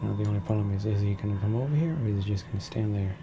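A man's voice: on the low side, rapid-fire, muffled recording. A man with a slightly froggy voice giving casual, laid-back video game commentary, muttering to himself as he plays in his room at home. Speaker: gameplay streamer. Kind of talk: casual let's play commentary. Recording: clearly noisy home recording.

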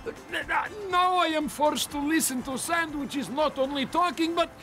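A man's voice: very high voice, unhurried, sharp tone, faint music behind, exaggerated voice. A man voicing a pompous, blustering fat cartoon villain with a foreign accent and broken English. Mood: indignant, blustering. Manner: broken English grammar, indignant. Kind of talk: cartoon acting.

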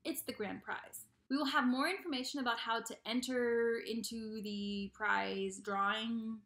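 Bubbly high-pitched young woman vlogger. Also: a little slow. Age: young adult. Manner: bubbly, animated, playful. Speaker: YouTube host of a book channel. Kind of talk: casual vlog announcement to camera. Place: small bedroom indoors. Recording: echoey untreated room.

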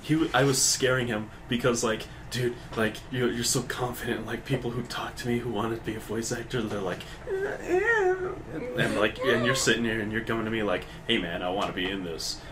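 A seventeen-year-old boy with a deep voice, telling stories in a casual podcast chat on a shared desk mic. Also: big pitch swings, a little fast, crowd noise. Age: teens. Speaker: podcast co-host. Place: school room. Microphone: shared desk microphone. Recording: noisy amateur podcast recording.

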